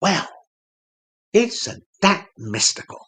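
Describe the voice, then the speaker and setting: A man playing a gullible wooden ventriloquist dummy sidekick in a comic audio play, hesitant, agreeable and easily amazed, with a husky voice. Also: high voice, very animated, slow pace, a bit bright, full character voice.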